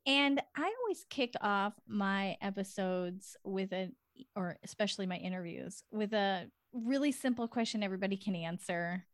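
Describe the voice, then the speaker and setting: A woman's voice: medium pitch, moderate pace. A woman hosting a podcast, reading a prepared guest introduction and then chatting casually over a video call. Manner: warm, reads a scripted intro then chats. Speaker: podcast host. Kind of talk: podcast interview.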